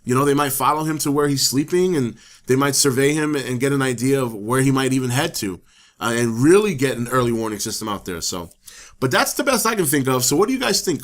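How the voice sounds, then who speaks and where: A man hosting his own channel, talking casually to camera, a little hoarse from the flu, rambling with many fillers and restarts. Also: mid-range voice, a bit bright. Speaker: channel host. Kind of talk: casual opinion talk to camera.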